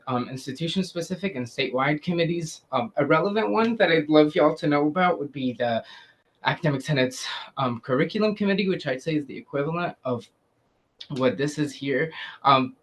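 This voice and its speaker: An earnest young man from Syria answers questions before a student committee. He speaks with frequent pauses and fillers at a middling pitch. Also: fairly bright tone. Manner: hesitant, many "um"s, earnest. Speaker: student applicant.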